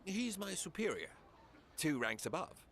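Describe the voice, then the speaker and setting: A man playing a guarded, sly spy in a fantasy game, with an evasive, arch and measured manner. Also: a little high, big pitch swings, fairly bright tone, exaggerated voice. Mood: coy, evasive. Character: guarded, sly male spy of an empire's intelligence service. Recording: clear background noise and ambience.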